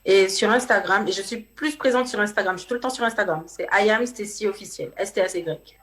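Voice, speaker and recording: A woman's voice: mid-range voice, a little fast, a bit bright. A woman from Martinique with a Martinican accent, chatting casually as a guest singer in a radio studio interview.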